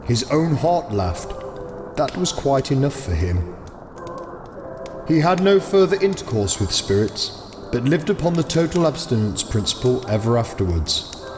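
An actor narrating a Christmas tale as a deliberate storyteller with emphatic pauses, in an audio drama. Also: male voice, mid-range voice, sing-song, music in the background. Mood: tender, satisfied.

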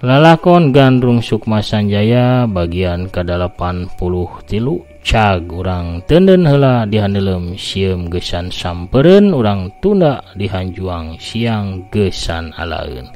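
An older man narrating a folk tale and greeting listeners by name, unhurried and homely. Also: sits low, big pitch swings, a little mellow. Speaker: storyteller. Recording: faint music behind.

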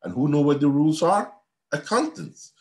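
A man's voice: fairly high pitch, animated, moderate pace. A man, giving an earnest university lecture on a video call from a home office. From Guyana. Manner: earnest, repetitive teacherly explaining. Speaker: accounting professor.

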